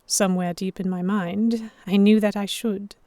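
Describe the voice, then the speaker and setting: A woman's voice: mid-range voice, steady tone, normal speed, warm tone, dry recording. A woman narrating an audio drama in the first person and acting out the dialogue. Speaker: narrator and lead actor.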